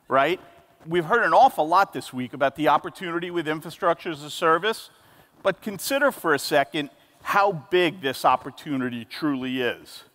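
A man's voice: a little high, a bit bright. A man in his forties moderating a conference panel on a large stage, emphatic and upbeat, speaking into a handheld mic. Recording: long hall reverb.

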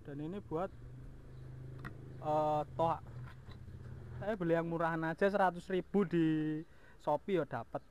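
A man's voice: fairly high pitch, steady tone, measured pace, long pauses, darkish tone. A man talking casually and colloquially to viewers as a vlog host, recorded outdoors on an action camera. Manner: informal, colloquial, addressing the viewer. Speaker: motovlog host. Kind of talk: casual vlog walkaround of his motorbike. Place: outdoors in a house yard. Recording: outdoor action camera, clear background noise.

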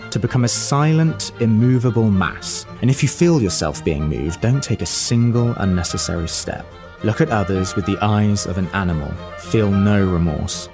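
Deep-voiced, brooding narrator. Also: male voice. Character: brooding, self-lecturing narrator of a bleak monologue. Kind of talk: dramatic literary narration in an audio drama.

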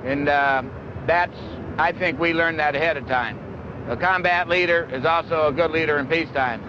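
An American military man with a fairly high voice gives off-the-cuff advice. The film sound is muffled, with clear outdoor noise. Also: normal speed. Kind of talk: unscripted interview advice.

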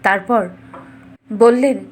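A woman narrating a scripted fairy tale as a storyteller, dramatic and expressive. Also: on the high side, takes it slowly.

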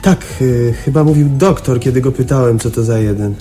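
An actor playing a bored, companionable sanatorium resident in a Polish radio drama. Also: male voice, medium pitch, fairly quick, warm tone, faint music behind, lightly acted.

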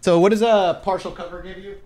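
A man's voice: sits high, steady tone, fast, a little mellow. Playful man running a tabletop game. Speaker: game master. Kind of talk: tabletop roleplay narration and rules talk.

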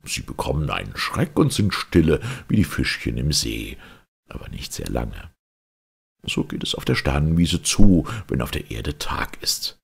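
Very deep, gravelly man reading a fairy tale. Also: very animated, measured pace. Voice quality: gravelly, husky. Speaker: audiobook reader. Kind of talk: scripted storybook narration of a children's fairy tale.

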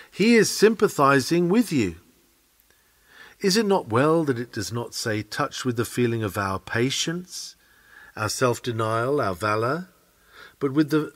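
A man with a mid-pitched voice reads a sermon aloud. His delivery is earnest and oratorical, phrased with care, and his intonation rises and falls in a lively way. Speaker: sermon reader. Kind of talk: reading a Victorian sermon aloud.

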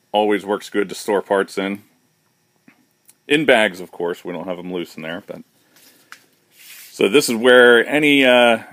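A man's voice: on the low side, no echo. A man giving a casual, matter-of-fact tour of his shop's warehouse while filming on a handheld phone. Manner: relaxed, matter-of-fact, with fillers and restarts. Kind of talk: casual walkthrough narration. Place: large indoor warehouse with shelving. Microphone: handheld phone camera, speaker behind it.

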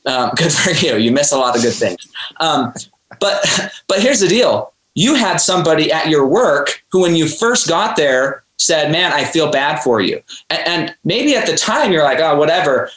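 A man's voice: on the high side, sing-song, normal speed, a bit bright, reverberant. A man hosting a podcast, talking earnestly and preachily, addressing his listeners directly. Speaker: podcast host. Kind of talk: motivational interview talk.

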